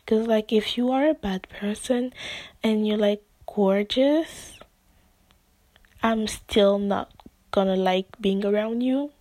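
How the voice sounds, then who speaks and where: A woman's voice: mid-range voice, slow pace, dry recording. A woman who speaks English as a second language, hosting a casual solo podcast and rambling through her thoughts with many fillers and restarts, often close to a whisper. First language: not English. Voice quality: soft, often near-whispered delivery. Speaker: podcast host.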